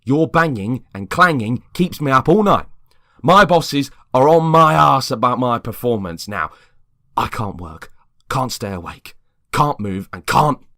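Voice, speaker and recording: A man's voice: medium pitch, expressive, unhurried, sharp tone, no echo, studio quality, pitched above the performer's own voice. A man playing an exhausted, irate neighbour at breaking point in a dramatised story reading, complaining emphatically. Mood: frustrated.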